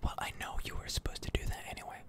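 A gamer whispering ASMR commentary over gameplay, close to the microphone, musing in an unhurried, hesitant way.

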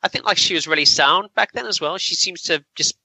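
Bright, forceful-voiced man chatting on a podcast. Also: fairly high pitch, fast, gravelly, slightly rough, dry recording. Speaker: podcast host. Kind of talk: casual film review chat.

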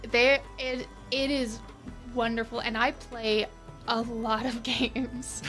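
A woman chatting casually as an interview guest, enthusiastic and expressive about playing tabletop games. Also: on the high side, slow pace, soft background music.

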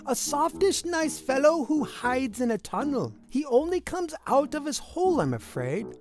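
A woman reading a rhyming picture book aloud to children in an expressive, sing-song storytelling manner. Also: high-pitched, moderate pace.

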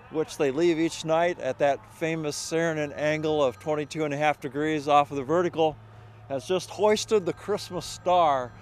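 A man's voice: high-pitched, slight background noise. A middle-aged man giving an explanatory walking tour of a snowy outdoor construction site as library director, speaking into a handheld mic. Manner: explanatory, gestures while speaking. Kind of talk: guided walking tour narration.

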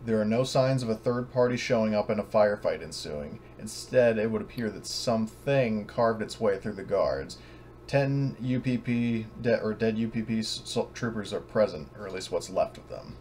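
A man narrating and refereeing a tabletop game as game master, halting and often correcting himself, over an online voice chat. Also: on the low side, a little mellow. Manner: halting, hedging, self-correcting. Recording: noisy online voice chat recording.

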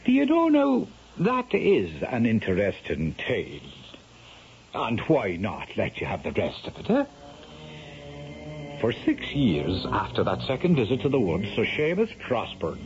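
Lively, blustering Irish rogue. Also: male voice, lowish voice, measured pace, heavily acted. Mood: sly, storytelling. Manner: blustering, stammering, wheedling. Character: sly, garrulous Irish storyteller and anxious old rogue father. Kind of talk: 1970s radio drama acting. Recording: dull, noisy 1970s radio broadcast.

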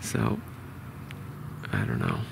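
A man in his forties with a New York accent, quietly explaining a hunt to a close-held camera outdoors in the woods. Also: slow pace, background noise. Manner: quiet, low-key explaining. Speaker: bowhunter. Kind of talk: hushed outdoor hunting vlog. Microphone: camera held close to face.

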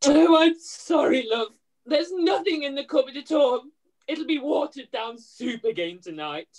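A woman's voice: high voice, lively intonation, measured pace, darkish tone, poor audio. A woman playing a hard-up, cheeky pantomime mother with broad comic exclamations, in an amateur script reading over a video call. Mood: dismayed. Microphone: computer mic on video call.

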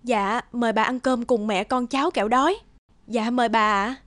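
Woman playing a high-voiced kind young mother. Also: steady tone, normal speed, no room sound, studio quality, lightly acted. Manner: gentle, respectful. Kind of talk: animated folk-tale acting.

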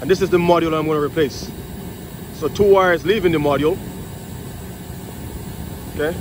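A man walks through a repair step by step in a loose, casual way, dropping plenty of fillers as he works. His voice sits a little higher than usual. The phone recording is thick with outdoor noise and passing aircraft. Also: normal speed. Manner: casual, explains while working, many fillers. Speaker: HVAC technician and channel host. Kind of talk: hands-on troubleshooting walkthrough. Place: outdoors at a rooftop unit. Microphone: phone camera held in one hand.

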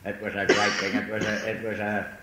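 Older Liverpool man, lowish voice, rambling self-mocking speaker, recorded on old tape in an echoey hall. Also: monotone, brisk, pushed and bright, slight background noise. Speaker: speaker at a recovery meeting. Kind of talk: personal testimony talk. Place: large hall.

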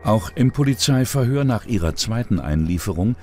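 A man narrates a true-crime documentary in a low voice. His delivery is dramatic and suspenseful, with a lively swing in pitch, over faint music. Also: moderate pace.

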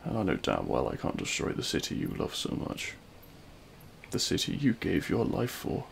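A man playing a remorseful, self-loathing supervillain in an intimate audio roleplay, speaking in a halting, anguished way. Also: deep voice, huge pitch swings, vocal fry, slight background noise, heavily acted. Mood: anguished regret. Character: remorseful male supervillain confessing love at a grave.

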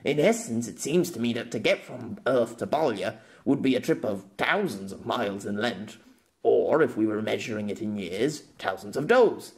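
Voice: medium pitch, expressive, moderate pace, gravelly. A storyteller voicing a patient, world-weary, sceptical man in an audio novel, reasoning in a dry, pedantic way. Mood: patient, explaining.

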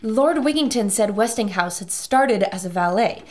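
An actress playing a sharp-witted adventurer in a pulp radio serial, brisk, articulate and dramatic as she reports to listeners. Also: mid-range voice, expressive, natural voice. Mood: keen, deducing.

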